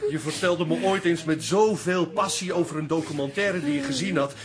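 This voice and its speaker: An actor playing a polite, learned professor in a radio drama, speaking in a formal, wordy way. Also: male voice, fairly high pitch, fairly quick, over a noisy crowd, natural voice. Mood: earnest. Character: polite, learned professor, mild-mannered café regular.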